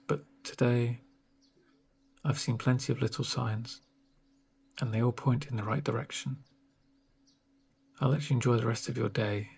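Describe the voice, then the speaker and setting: A man speaking softly and gently in an ASMR roleplay as a reassuring, unhurried doctor on a home visit. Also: mid-range voice, gravelly, breathy edge. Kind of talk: soft-spoken ASMR roleplay.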